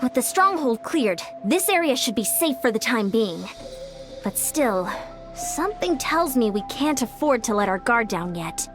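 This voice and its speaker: A woman voicing a cautious, level-headed commander who talks in a measured way. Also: a little high, very animated. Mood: wary. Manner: measured, wary. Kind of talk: video game voice acting. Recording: game dialogue over music.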